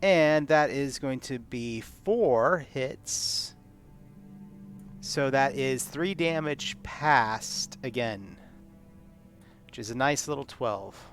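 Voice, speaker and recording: Guy playing a tabletop rpg, medium pitch voice, thinking out loud, faint music in the back. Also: animated, very slow. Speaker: tabletop game player. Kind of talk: casual chat while playing. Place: live online game stream.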